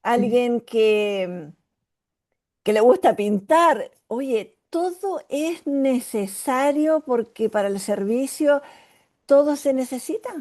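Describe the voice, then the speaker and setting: A woman's voice: fairly high pitch, animated, slow pace, a little mellow. A woman guest from a ministry answering in conversation, hesitant and searching for words.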